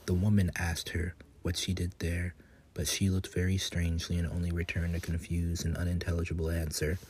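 Very deep, even-toned man reading. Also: brisk, slightly rough. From United States, Louisiana. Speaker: guest reader, horror writer. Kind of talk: informal introduction and audiobook-style reading of a gothic novel.

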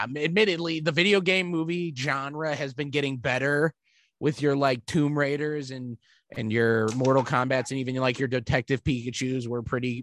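A man's voice: mid-range voice, a bit bright, dry recording, studio quality. A man co-hosting a podcast, rambling through casual movie chat with lots of fillers and some swearing. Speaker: podcast co-host.

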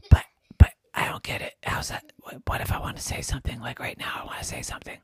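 A man voicing a hoarse, excitable little boy who has lost his voice and speaks in an eager, stammering whisper. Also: no echo, close to an everyday voice. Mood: pleading whisper. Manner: eager, stammering, rushing questions. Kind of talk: bedtime storytelling.

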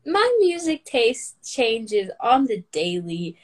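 A woman's voice: a little high, very animated, takes it slowly. Hesitant teenage girl guest. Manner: hesitant, self-deprecating. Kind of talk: casual chat.

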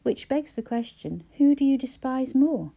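A woman's voice: on the high side, moderate pace, warm tone, voice pushed up, close to an everyday voice. A woman voicing an emotional, defiant heroine.

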